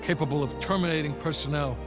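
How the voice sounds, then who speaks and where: A man playing a cool, evasive intelligence official in a video game, measured, terse and unapologetic. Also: a little high, natural voice. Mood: grave, explaining. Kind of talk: cinematic video game acting. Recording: loud music behind.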